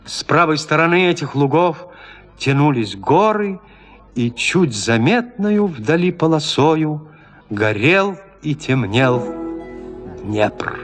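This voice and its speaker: A man's voice: fairly high pitch, lively intonation, slow, a bit bright, soft background music. A man narrating a literary story as the scripted narrator of an audio drama.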